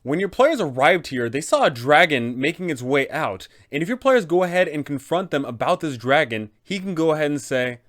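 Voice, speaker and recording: A man's voice: a little high, big pitch swings, brisk, hardly any pauses, a bit bright. A man in his twenties presenting a roleplaying guide for game masters, explanatory and emphatic. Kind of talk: tabletop roleplaying guide narration. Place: small furnished room indoors.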